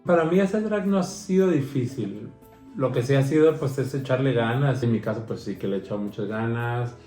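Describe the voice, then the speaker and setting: A man from Mexico speaks in an expressive, conversational interview style. His voice sits at a middle pitch, with noticeable room echo and music playing softly behind him. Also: a little fast. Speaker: drag queen street performer. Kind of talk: personal interview talk.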